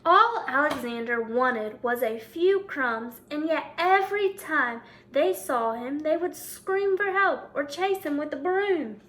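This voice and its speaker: A woman with a high voice reads a children's picture book aloud as a teacher would. She narrates and comments, addressing young listeners directly and prompting them to think. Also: animated, slow pace, few pauses, a bit bright, a little hiss or hum.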